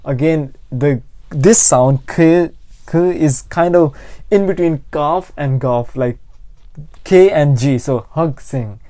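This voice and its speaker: Mellow male language tutor with Pakistani accent. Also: a little high, dry recording. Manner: explanatory, repeats phrases slowly for learners. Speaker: language teacher and channel host. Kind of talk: informal language lesson.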